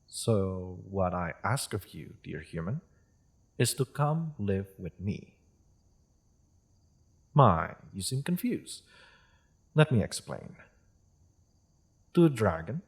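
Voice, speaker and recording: A man playing a polite, solemn half-dragon in a fantasy audio roleplay, with husky tone and formal, measured phrasing. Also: low voice, full character voice. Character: polite, solemn male half-dragon seeking peace with humans.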